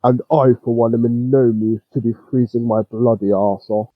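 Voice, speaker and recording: Old male pony ranger played by a man: gruff, scornful, medium pitch, dry and slightly dull recording, story audio. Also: steady tone, moderate pace, dry recording, close to an everyday voice. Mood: grumpy. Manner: gruff, sardonic. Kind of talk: fan fiction audio reading, character voice.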